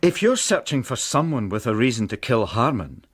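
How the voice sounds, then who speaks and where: Apologetic gentleman, lively intonation. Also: medium pitch, normal speed, husky. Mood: reasoning. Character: apologetic, reasoning gentleman friend, mildly awkward. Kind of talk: radio drama acting.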